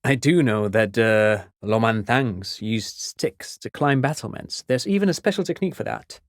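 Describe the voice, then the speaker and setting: A man's voice: mid-range voice, expressive, moderate pace, no room sound, clean studio sound, natural voice. An actor playing a pedantic, absent-minded amateur scholar in a video game, an enthusiastic father who explains things eagerly and wanders into digressions. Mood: polite, reflective. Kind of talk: video game character dialogue.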